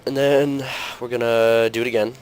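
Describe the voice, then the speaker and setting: A young man hosting a gaming channel, talking through gameplay in a casual, rambling way full of fillers, his voice slightly hoarse from a cold. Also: medium pitch, level tone, normal speed, darkish tone, poor audio.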